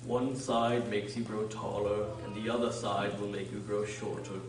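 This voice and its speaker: A man's voice: lowish voice, flat delivery, normal speed, close to an everyday voice. A man playing a curt, contrary caterpillar in a radio drama, speaking in a terse, pompous manner. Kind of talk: amateur radio drama acting. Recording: echoey room, distant mic, noisy.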